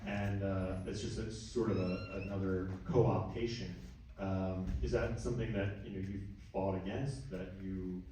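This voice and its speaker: A man's voice: low voice, dark tone, slight background noise. A man moderating a panel, asking questions in a hesitant, rambling way. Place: university lecture room. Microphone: distant room recording. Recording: echoey room from far off.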